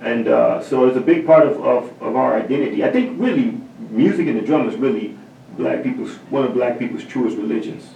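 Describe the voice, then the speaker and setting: A male drummer being interviewed, with a gravelly voice at an ordinary pitch, who gropes for words and restarts often before landing on his point. He is heard in an echoey room, somewhat away from the microphone, with faint background noise. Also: mellow, highs cut off. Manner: thoughtful, halting, many fillers. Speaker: drummer, guest. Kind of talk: interview answers. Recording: roomy sound from a distant mic.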